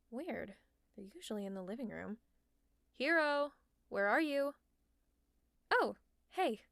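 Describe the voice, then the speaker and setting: A woman's voice: fairly high pitch, no echo, clean studio sound. A woman playing a sarcastic but caring female supervillain in an audio roleplay, teasing and exasperated, with sighs and laughs. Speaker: ASMR roleplay narrator.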